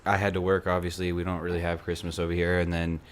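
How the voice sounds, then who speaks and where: A man's voice: low voice, monotone, fast talker, a little hiss or hum. A young man hosting a podcast in casual chat, rambling with lots of restarts and filler, and losing his voice. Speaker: podcast host. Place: apartment beside a busy night market.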